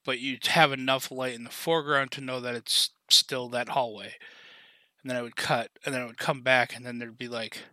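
A man in a casual podcast discussion, talking haltingly and hedging as he goes. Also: mid-range voice, fairly even intonation, bright, forceful tone, no room sound.

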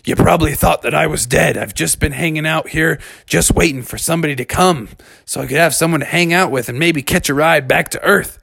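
A man's voice: on the high side, fairly quick, vocal fry, a bit bright, breathy edge, no room sound, clean studio sound, heavily acted. A man voicing an easygoing, friendly stranded spaceman in a children's story, breezy and chatty. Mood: laid-back.

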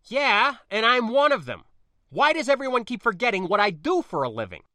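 A male narrator plays a determined space pilot in an audiobook. The voice is bright and raised, with lively swings in pitch. The delivery is colloquial and insistent, wry and defensive. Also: moderate pace, no echo. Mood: exasperated.

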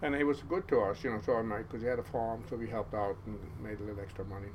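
A man in his seventies with a Norwegian accent, telling stories as an interview guest in a halting way, with many restarts. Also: fairly low pitch, steady tone, a little hiss or hum. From Norway. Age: 70s. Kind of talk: casual storytelling interview.

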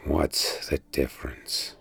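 A man's voice: slow, fairly bright tone. An actor playing a pirate in an audio drama, who narrates his own story in the first person and speaks in character. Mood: grim. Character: urgent male pirate war profiteer narrating his own tale. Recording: music behind.

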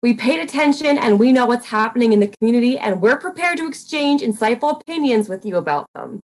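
A woman acting as a gushing guest co-host on a comedy radio show. Her voice sits fairly high, and she is earnest and insistent, with a pompous, gossipy streak. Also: animated, quick pace, low-quality recording. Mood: self-important. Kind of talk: audio fiction comedy as radio broadcast.

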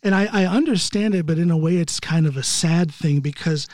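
Higher-voiced man hosting a podcast. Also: a little fast, darkish tone, no room sound, clean studio sound. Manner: agreeable, stammers with repeated words. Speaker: podcast host. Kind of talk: casual podcast conversation.

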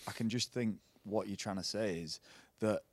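A man co-hosting a podcast, joking around and drifting into long rambling asides. His voice sits a little low and sounds dry and close. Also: normal speed, no room sound. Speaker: podcast co-host. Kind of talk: casual banter.

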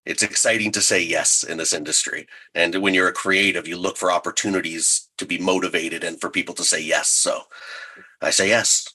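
A male writer talks casually as an interview guest. His voice is low and rough-edged with a crisp, forceful brightness, and his delivery is measured with dry humour. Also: steady tone, poor audio.

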